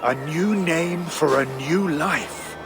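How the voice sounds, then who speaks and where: A man's voice: fairly high pitch, takes it slowly. An actor playing a genial, peaceable Danish Viking king in a video game, with a stately and amiable manner. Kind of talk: dramatic video game acting. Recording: music behind the voice.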